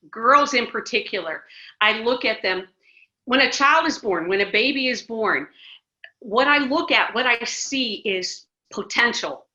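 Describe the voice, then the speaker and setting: A woman's voice: on the high side, bright, forceful tone. A middle-aged American woman, a ministry director, talking emphatically as a guest in an interview, with many restarts. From United States. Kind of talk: casual interview conversation. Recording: muffled lo-fi sound.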